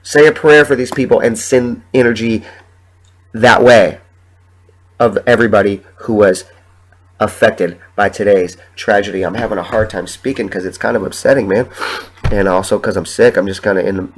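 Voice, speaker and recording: A young man hosting his own podcast while sick with the flu, sniffling and talking in a halting, rambling, emotional way with plenty of swearing. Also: lowish voice, fairly even intonation, poor audio. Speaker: podcast host. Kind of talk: solo podcast monologue.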